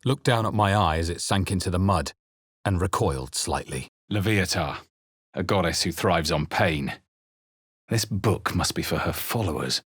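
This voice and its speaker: A grave, guarded male adventurer from a fantasy video game. His voice sits very low and has a husky grain. He delivers each line in a measured, brooding way, recorded close and very dry. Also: animated, fairly bright tone, dry recording, exaggerated voice. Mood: wry, matter-of-fact. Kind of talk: dramatic video game voice lines and first-person narration.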